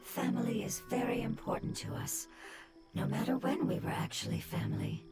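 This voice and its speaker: A woman voicing a soft-spoken wise goddess. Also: fairly high pitch, expressive, natural voice. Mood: calm. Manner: soft, measured, gentle explaining. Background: loud game music.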